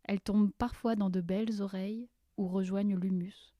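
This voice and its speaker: An actress playing a curious, reflective wanderer who gathers stories, musing poetically in an audio fiction monologue. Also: female voice, mid-range voice, fairly even intonation, moderate pace, dark tone, dry recording, studio quality, lightly acted.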